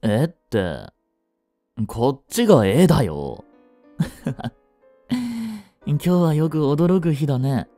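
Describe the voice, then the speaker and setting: A young man acting a jealous, possessive yandere boyfriend in a romantic voice drama, with a soft, coaxing, controlling manner that is sweetly menacing. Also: fairly high pitch, very animated, drawn-out words, pauses often, soft background music, full character voice. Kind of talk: Japanese romantic situation voice drama.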